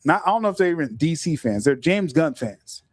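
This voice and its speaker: A middle-aged man hosting a casual podcast livestream, talking in an animated way, stumbling over repeated words and often laughing. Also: a little high, a little fast, slightly rough, no room sound, studio quality. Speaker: podcast host.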